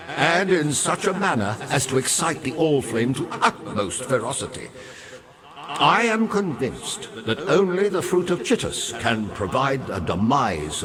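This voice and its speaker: Game role: pompous revenant sea captain, wry and morbid, hugely animated pitch, slow relished delivery. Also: male voice. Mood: conspiratorial, resolute. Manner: florid, pompous, savoring his words. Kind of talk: theatrical video game character dialogue.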